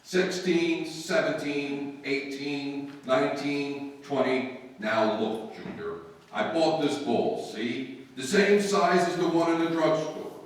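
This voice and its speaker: An actor playing a bumbling, distracted working-class family man in old-time radio comedy, with a slow, deliberate delivery. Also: fairly high pitch. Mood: preoccupied. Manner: slow, deliberate, droning counting. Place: live stage performance. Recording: roomy stage recording, distant, faint noise.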